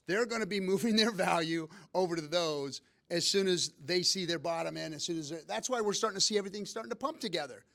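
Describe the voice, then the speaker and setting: A man's voice: high voice, fast, vocal fry, few pauses, fairly bright tone. A man on a seminar panel, explaining emphatically to the audience. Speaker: seminar speaker. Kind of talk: live seminar panel talk.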